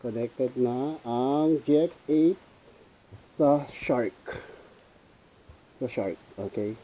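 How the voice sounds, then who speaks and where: Dark-voiced, slow-paced Filipino man reviewing gear. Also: mid-range voice, rough recording. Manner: informal, switches between Tagalog and English. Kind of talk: casual unscripted product review.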